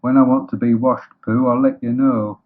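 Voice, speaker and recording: A man's voice: mid-range voice, normal speed, pitched above the performer's own voice, lightly acted. Guy doing a glum dignified donkey from a kids story, dry sarcasm, tinny phone-like sound. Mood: dry, aloof. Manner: deadpan, ponderous, sardonic. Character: gloomy, sarcastic, dignified male donkey, coldly resigned. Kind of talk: children's storybook character voice. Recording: thin phone-like, muddy old recording.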